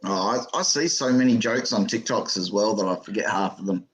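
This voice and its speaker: A man co-hosting a casual chat, calmly explaining facts and keen on telling jokes. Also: on the low side, fairly quick. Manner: explanatory, calm, fond of jokes. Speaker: podcast co-host.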